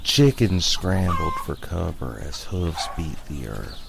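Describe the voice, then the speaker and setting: A man narrating a scripted spooky tale in a measured, dramatic storyteller's manner. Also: deep voice, sing-song, creaky, background noise. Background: music.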